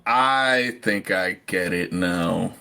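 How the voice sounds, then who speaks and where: A man with a somewhat low voice chats as a player in a tabletop roleplaying game. His delivery is casual, wry and flippant. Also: drawn-out words, creaky, bright, forceful tone, smooth.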